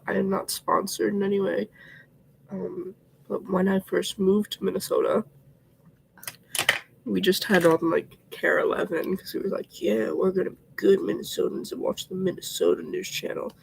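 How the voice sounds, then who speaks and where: A man's voice: high-pitched, steady tone, normal speed, creaky, slight background noise, poor audio. A sick, congested young man chatting casually while getting ready on a home livestream, rambling and often slipping into a whisper. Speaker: vlogger.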